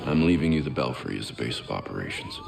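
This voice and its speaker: An actor playing a gruff, solemn masked vigilante mentor in a video game, speaking gravely with a gravelly voice. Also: male voice, extremely low pitch, huge pitch swings, heavily acted. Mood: solemn, resolute. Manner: grave, measured. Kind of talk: video game cinematic acting. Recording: clearly noisy background.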